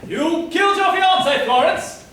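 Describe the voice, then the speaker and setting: Man acting high-pitched, accusing inspector, echoey hall. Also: unhurried, fairly bright tone. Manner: melodramatic, accusing. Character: excitable, accusatory police inspector interrogating murder suspects. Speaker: actor in a staged reading. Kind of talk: theatrical murder-mystery stage acting. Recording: echoey hall, distant mic, faint noise.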